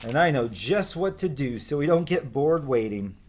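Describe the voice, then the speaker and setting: A man voicing a boisterous, joke-loving schoolboy in a storybook, with a pushy, excitable manner. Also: on the high side, moderate pace, a little hiss or hum, pitched above the performer's own voice. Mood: cheeky.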